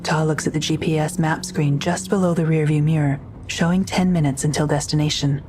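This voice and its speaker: Female narrator for audio drama, low register, scripted present-tense storytelling, faint ambience behind. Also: steady tone, fairly quick, noisy background.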